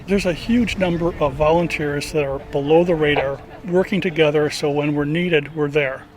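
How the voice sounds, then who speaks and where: A man's voice: a little high, steady tone, moderate pace, a crowd in the background, low-quality recording. An older man, a radio volunteer, talking casually in an interview.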